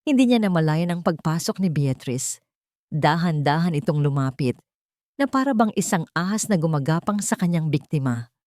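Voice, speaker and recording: Low-pitched woman narrating drama. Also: expressive, moderate pace, a little mellow, no echo, studio quality. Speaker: audiobook narrator.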